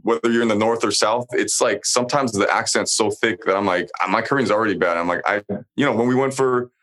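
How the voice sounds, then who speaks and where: Blunt low-voiced dude in his 40s, swears a lot, casual podcast chat. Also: fast, fairly bright tone. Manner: blunt, heavy swearing, emphatic. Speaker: podcast co-host. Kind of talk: casual chat about a TV show.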